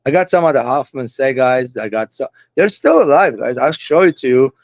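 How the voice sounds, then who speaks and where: A man's voice: mid-range voice, expressive, normal speed, no room sound, lo-fi sound. A man in his forties from Lebanon with an Armenian-Lebanese accent, Armenian as his first language, chatting at length as a guest about his hobby. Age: 40s. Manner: rambling, talkative, chatty. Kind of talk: casual chat.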